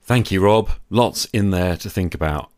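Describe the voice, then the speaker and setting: A man's voice: deep voice, normal speed, heavy background noise. A man hosting a podcast, speaking in a reflective monologue. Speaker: podcast host.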